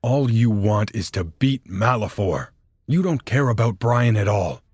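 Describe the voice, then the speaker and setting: A man's voice: lowish voice, animated, measured pace, darkish tone, breathy edge, no echo, studio quality. A man playing a cautious, rule-bound fairy guardian in a fan audio drama, arguing with reproach and sarcasm. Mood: accusing.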